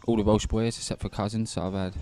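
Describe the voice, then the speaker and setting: A man's voice: on the low side, level tone, fast talker, mellow. A man, co-commentating pool in casual, informal chat and talking through shots. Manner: casual, informal, laughs easily. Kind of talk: live stream commentary chat.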